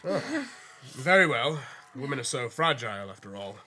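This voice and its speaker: A man's voice: medium pitch, sing-song, moderate pace, bright, forceful tone. An actor playing a strict, haughty father in a period radio drama, speaking formally and with command. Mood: formal, condescending. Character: strict, haughty Victorian father, condescending to his daughters.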